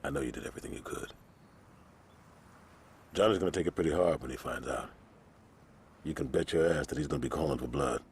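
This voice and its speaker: Deep-voiced, brisk gang lieutenant. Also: male voice, a little mellow, slight background noise. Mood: sombre. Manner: brisk, slangy, blunt. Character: tough, streetwise male gang lieutenant, commanding. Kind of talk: video game cutscene acting.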